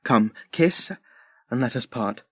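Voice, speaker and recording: A man's voice: mid-range voice, expressive, takes it slowly, slightly rough, no room sound, clean studio sound. A man playing an ardent, jealous young Trojan prince in love, delivering classical verse earnestly in an audio drama. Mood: anxious, tender. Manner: earnest, declamatory.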